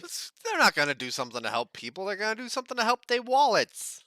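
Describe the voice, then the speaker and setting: A man's voice: high-pitched, huge pitch swings, fast, sharp tone. A man co-hosting a gaming podcast, giving news follow-ups in a wry, self-deprecating way. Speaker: podcast co-host. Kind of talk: casual gaming news chat.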